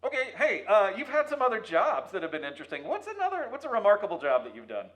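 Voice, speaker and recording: Lively high-voiced male interviewer. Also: fast talker. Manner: quippy, bantering. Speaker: interviewer, host. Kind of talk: casual, jokey interview chat.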